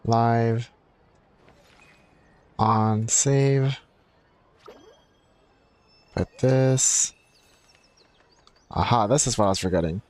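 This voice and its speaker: A male game streamer talks casually as he plays. He rambles and thinks aloud in an average-pitched voice, moving loosely from one thought to the next. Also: very slow, pauses often, darkish tone. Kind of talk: casual live gaming commentary.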